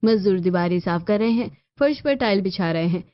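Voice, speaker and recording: A woman giving scripted narration for an animated family story. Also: medium pitch, a little fast, muffled recording.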